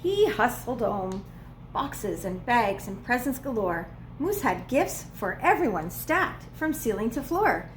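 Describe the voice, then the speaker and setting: A woman reading a children's storybook aloud as a preschool teacher would, with expressive, playful emphasis. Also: fairly high pitch, unhurried, slight background noise. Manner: dramatic, playful emphasis on repeated words.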